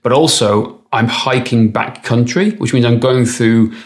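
A man's voice: medium pitch, unhurried. A man co-hosting a podcast as a strength coach, talking conversationally and telling stories, his voice a little worn. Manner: conversational, anecdotal, with restarts. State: losing his voice. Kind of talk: casual podcast chat.

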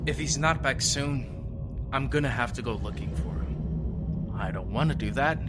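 A man playing a lonely, weary survivor broadcasting after an apocalypse in an audio drama, musing in a halting, understated, resigned way. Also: mid-range voice, natural voice. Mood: anxious pleading. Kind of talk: audio fiction monologue. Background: music.

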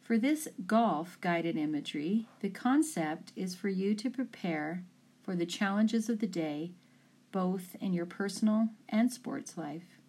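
A woman coach narrating a scripted guided imagery session, giving calm, soothing instructions in short, deliberate phrases. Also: medium pitch. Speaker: sports mind coach leading guided imagery.

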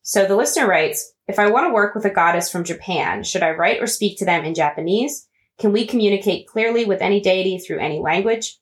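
A woman co-hosting a podcast, answering a listener question in a chatty way. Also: medium pitch. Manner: chatty, with many fillers and restarts. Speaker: podcast co-host. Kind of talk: casual conversation.

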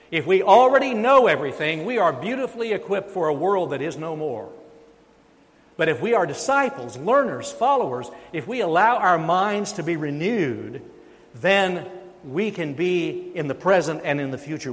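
Man preaching in a big echoey church, voice a bit high, gets loud and punchy on the big points. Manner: emphatic, rises in pitch and volume on key points. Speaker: pastor. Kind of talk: sermon. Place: church sanctuary. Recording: long reverb of a church sanctuary.

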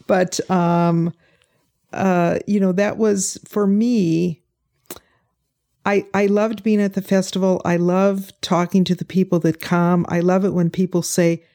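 A woman's voice: on the low side, level tone, measured pace, warm tone. An older woman chatting as an interview guest, telling stories and acting out what people said to her. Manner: storytelling, acts out quoted people. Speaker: guest, pie sale volunteer. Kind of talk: casual interview chat.

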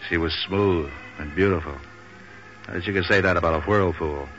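An actor playing a street-wise, cynical hard-boiled hero with deadpan wisecracks in 1940s radio noir. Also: male voice, sits low, moderate pace, soft background music. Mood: cynical, brooding. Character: Pat Novak, street-wise cynical male hard-boiled boat renter. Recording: muffled, hissy old radio recording.

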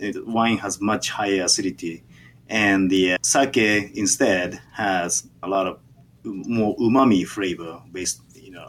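A man with a Japanese accent, a native Japanese speaker, answering interview questions haltingly with many fillers and restarts. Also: low voice, a little hiss or hum. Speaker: sake brewer.

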